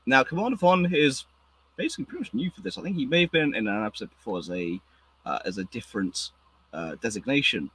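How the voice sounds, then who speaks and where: A hoarse man hosting a casual podcast chat, talking excitedly and rambling with many restarts. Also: medium pitch, fast talker, poor audio. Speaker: podcast host.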